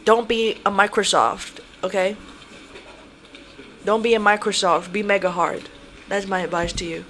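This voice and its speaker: A man's voice: sits high, little pitch movement, normal speed, bright, forceful tone. A man playing a pleading, mock-stern office boss in an improvised comedic roleplay, scolding with hesitations. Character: pleading, punning male boss scolding an employee.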